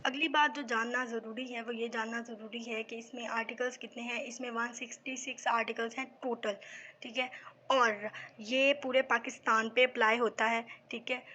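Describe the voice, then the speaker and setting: A woman's voice: fairly high pitch, steady tone, moderate pace, pushed and bright, slight background noise. A Pakistani woman giving a methodical explanatory law lecture for exam students, often checking in with a short tag phrase. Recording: dull lo-fi microphone sound.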